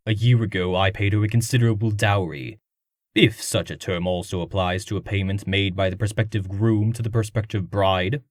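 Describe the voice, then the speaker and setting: A wealthy, pompous comic-dub aristocrat voiced by a man. His speech is formal and haughty, with highly animated intonation. The recording is very dry. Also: dry recording.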